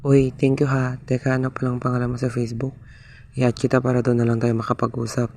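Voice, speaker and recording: A young man playing a shy, insecure student who speaks softly and stammers, narrating his own story in a student radio drama. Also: medium pitch, completely flat delivery, fast, gravelly, natural voice. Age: young adult. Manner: soft-spoken, self-doubting, stammers. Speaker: narrator and lead character.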